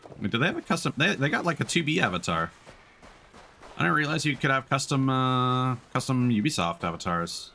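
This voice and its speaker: A man's voice: medium pitch, big pitch swings, brisk, pushed and bright, slight background noise. A man giving casual live gameplay commentary as a streamer, rambling and restarting his sentences mid-thought.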